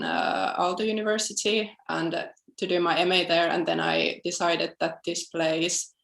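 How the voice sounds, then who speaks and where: Gravelly woman in an echoey room. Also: fairly low pitch. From southern Finland. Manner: thoughtful, with frequent fillers and restarts. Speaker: documentary film director. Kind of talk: panel interview answers. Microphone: video call.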